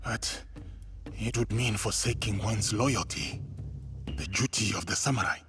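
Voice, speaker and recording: An actor playing an earnest, humble samurai warrior in a video game, with formal and solemn phrasing. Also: male voice, lowish voice, measured pace, over music, lightly acted. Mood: solemn, thoughtful. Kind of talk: dramatic video game acting.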